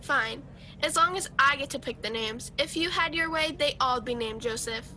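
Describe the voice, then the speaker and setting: A woman's voice: normal speed, bright, forceful tone. A woman playing a humble, devout young bride-to-be in a radio play, earnest and sincere. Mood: happy, affectionate. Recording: home video call with noise and rumble.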